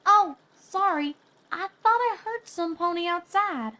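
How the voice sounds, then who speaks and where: A woman's voice: very high voice, measured pace, pushed and bright, pitched above the performer's own voice, heavily acted. A woman voicing an earnest, jumpy young earth pony filly in a story reading. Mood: apologetic.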